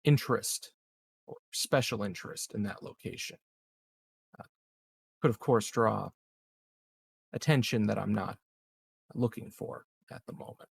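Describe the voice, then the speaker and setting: Man voicing a calculating male corporate schemer for a tabletop RPG: husky, very slow, polite and veiled. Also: fairly low pitch, no room sound, full character voice. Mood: guarded, calculating. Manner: halting, measured, veiled and formal. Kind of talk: improvised tabletop roleplay.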